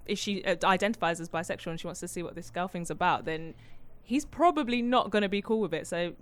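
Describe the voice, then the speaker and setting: A woman appearing as a guest on a casual podcast, joining in quickly on the others' points. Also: on the low side. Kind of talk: casual chat.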